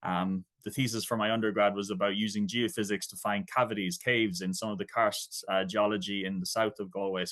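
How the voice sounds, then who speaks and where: A man in his thirties with an Irish accent gives an online academic talk over a video call, relaxed and conversational, with frequent asides. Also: fairly low pitch. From Ireland. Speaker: guest lecturer.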